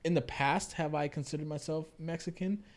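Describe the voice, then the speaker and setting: A man's voice: fairly high pitch, steady tone, a little fast. An American man co-hosting a podcast, talking casually and explaining his views in an earnest way. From United States. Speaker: podcast co-host. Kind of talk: casual conversational discussion.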